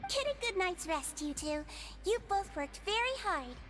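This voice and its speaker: Game casting: small female creature nurse, extremely high voice, caring, attentive, polite. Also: big pitch swings, moderate pace, fairly bright tone, soft background music, full character voice. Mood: fond. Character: small gentle female Melusine nurse, caring like older sister. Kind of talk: video game character dialogue.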